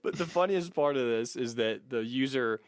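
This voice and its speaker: A man's voice: mid-range voice, lively intonation, moderate pace, dry recording. A man co-hosting a podcast in casual, joking, sweary chat, reading out listener comments. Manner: profane, joking. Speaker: podcast co-host.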